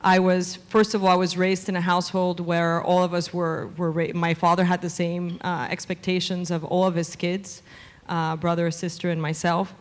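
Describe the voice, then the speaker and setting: A woman's voice: on the low side, flat delivery, moderate pace. A woman in her thirties from Venezuela, a media executive answering onstage interview questions into a handheld mic, fluent with frequent "um" fillers. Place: conference stage before a seated audience. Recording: reverberant conference hall.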